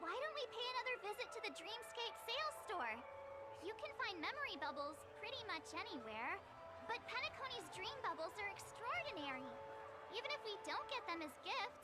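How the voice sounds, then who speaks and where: A woman voicing a small, chipper, girlish video game character in an animated manner. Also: very high voice, a little fast. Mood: upbeat, suggesting. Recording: game dialogue over loud music.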